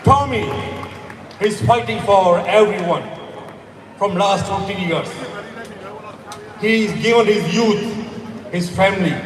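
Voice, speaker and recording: A middle-aged man from Afghanistan giving an impassioned stage speech at an outdoor rally. He is emphatic, rises to shouts and repeats words for force. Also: high-pitched, slow, pauses often, a crowd in the background. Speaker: rally speaker. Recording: echoey PA heard from far off.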